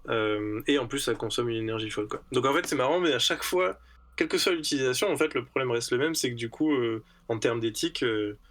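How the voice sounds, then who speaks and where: A man's voice: medium pitch, quick pace, fairly bright tone. A man co-hosting a casual podcast discussion, thinking aloud and repeating words with many fillers. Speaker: podcast co-host.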